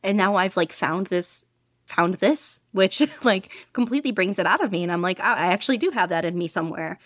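A woman's voice: mid-range voice, animated, a little fast, no echo, studio quality. Eager, giggly woman podcast guest. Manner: eager, laughs often, many fillers. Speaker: podcast guest, audiobook reader. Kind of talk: casual interview chat.

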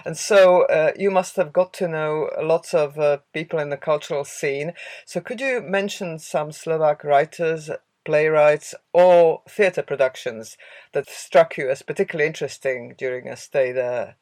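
An interviewer asking relaxed questions, with occasional fillers. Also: medium pitch, normal speed. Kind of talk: interview conversation.

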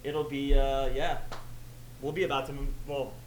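A man's voice: medium pitch, flat delivery, normal speed. A man hosting a podcast from his kitchen, chatting casually and easily. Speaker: podcast host, gym owner. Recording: echoey room, faint noise.

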